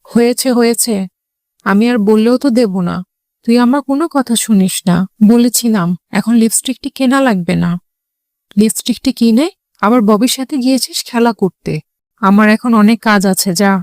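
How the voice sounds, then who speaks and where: A woman's voice: a little high, normal speed, darkish tone, no echo, studio quality, natural voice. A woman voicing an exasperated mother fox in a children's cartoon, nagging and scolding.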